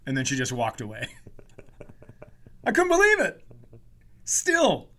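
High-voiced, exasperated man telling stories. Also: huge pitch swings, takes it slowly, pushed and bright. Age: 40s. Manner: profane, exasperated ranting. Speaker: podcast host, comedian. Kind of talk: comedic storytelling in casual chat.

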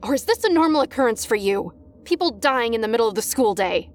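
A woman's voice: fairly high pitch, expressive, normal speed, husky. A woman voicing a nervous teenage best friend who talks in a tense, sarcastic, snappy way in a story reading. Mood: hysterical, sarcastic.

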